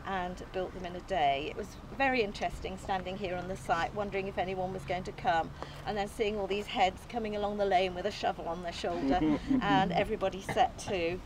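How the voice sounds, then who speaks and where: A woman's voice: mid-range voice, fairly even intonation, fairly quick, hardly any pauses. Older woman, outdoor speech, earnest, modest, faint background noise, distant camera. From England. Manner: earnest and modest, with small hesitations and light laughs. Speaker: allotment group founder giving an opening speech. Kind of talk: prepared speech read from notes. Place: outdoors on an open field site. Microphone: camera at a distance, no mic.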